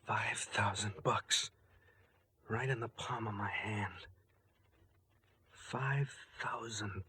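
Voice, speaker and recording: An actor playing a nervous, guilty pharmacist in a 1940s radio drama, hesitant in manner. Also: male voice, fairly low pitch, very slow, heavy background noise. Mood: hushed, fixated. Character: anxious, guilty pharmacist plotting a murder. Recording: vintage 1940s radio broadcast.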